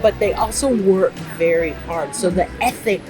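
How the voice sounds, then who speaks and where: A woman talks with confident energy, moving easily from one story to the next. Her pitch is middling for a woman. Music runs clearly beneath her voice. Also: normal speed, rough recording. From United States. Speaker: guest entrepreneur. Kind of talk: casual interview chat.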